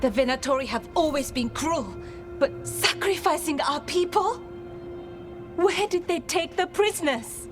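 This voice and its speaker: An earnest forest scout woman in a fantasy game. Her high voice carries concern and urgency. Music runs clearly underneath. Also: unhurried. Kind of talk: fantasy video game acting.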